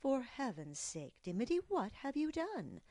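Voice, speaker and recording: A woman voicing a gentle mother bear who speaks softly, calmly and without hurry in a storybook. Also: a little high, very noisy, voice pushed up. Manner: soft, calm and unhurried. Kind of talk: storybook character voice.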